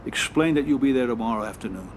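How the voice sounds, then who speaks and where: A man playing a calm mob advisor. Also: medium pitch, fast talker, slight background noise, close to an everyday voice. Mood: measured. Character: level-headed middle-aged mob lawyer and advisor. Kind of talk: game cutscene voice acting.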